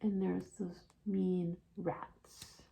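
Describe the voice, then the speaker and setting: A woman's voice: lowish voice, little pitch movement, extremely slow, creaky, dark tone, slight background noise. A woman reading a children's storybook aloud as a librarian, narrating and explaining for young listeners.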